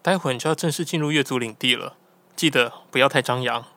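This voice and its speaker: A man acting as a calm, cautious guide in an audio drama, explaining things in a matter-of-fact way. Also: medium pitch, fast, no room sound. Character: calm, cautious male guide to a hidden tribe's land. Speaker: voice actor.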